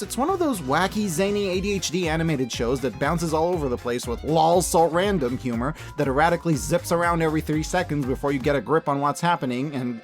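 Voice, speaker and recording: A high-voiced man delivers scripted review commentary with lots of swooping intonation. He is emphatic and given to playful exaggeration and jokey asides. Light music plays behind him. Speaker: host reviewing animated shows and media. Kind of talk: scripted video-essay commentary. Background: background music.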